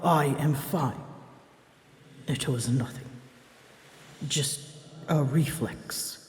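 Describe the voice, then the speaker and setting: A woman voicing a prickly, wounded female dragon in an intimate ASMR roleplay, speaking haltingly and slipping into whispers. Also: very deep voice, darkish tone, heavily acted. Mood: prickly, guarded. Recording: roomy long reverb, faint ambient noise.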